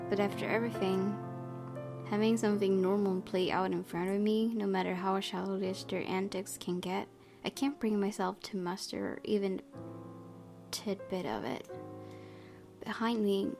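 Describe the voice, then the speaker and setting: A woman gaming host reading a visual novel aloud as a narrator. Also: mid-range voice. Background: game music.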